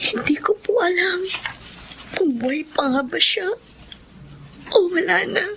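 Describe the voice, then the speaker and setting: An actress playing a soldier's devoted wife in a Filipino radio drama, in a melodramatic, tearful manner. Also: female voice, very high-pitched, expressive, a little slow, gravelly, sharp tone, slight background noise, heavily acted. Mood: anguished longing.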